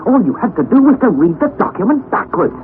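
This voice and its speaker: A young actor playing a wry, anxious nephew who narrates the story, in a 1960s radio drama on a tinny, lo-fi broadcast recording. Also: male voice, sits high, fast, background noise. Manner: earnest storytelling, rising to excited outbursts.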